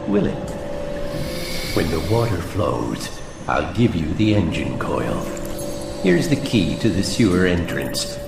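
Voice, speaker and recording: An actor playing a cunning, mock-polite gang go-between in a video game, with a creaky voice and oily manner. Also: male voice, low-pitched, big pitch swings, slow, long pauses, heavily acted. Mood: sly, oily. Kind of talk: video game cutscene acting. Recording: echoey room under loud music.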